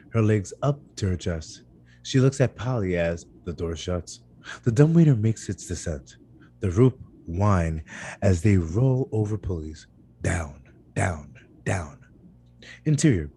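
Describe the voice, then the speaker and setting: A man with a very low, husky voice reads screenplay stage directions at a table read. His tone is dark and mellow, yet his pitch moves around in a lively way. He now and then trips on a word and corrects it. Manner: stumbles over words and corrects himself.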